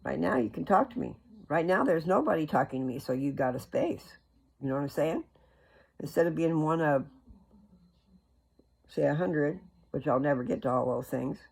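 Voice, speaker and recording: A person with a husky, gravelly voice giving a rambling, informal religious talk as a video host, in a folksy, earnest way that wanders off on asides. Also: medium pitch, animated, moderate pace, pauses often, darkish tone, low-quality recording.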